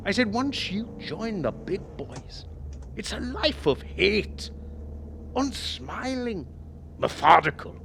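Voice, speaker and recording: An actor plays a man, once a cab driver, haunted by what he remembers. His halting, emotive radio-play storytelling swoops widely in pitch. Faint vehicle noise sits behind his voice. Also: creaky, a bit bright, heavily acted. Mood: pleading, remembering. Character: haunted former cab driver, man, reliving a grim memory. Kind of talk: dramatic radio play monologue.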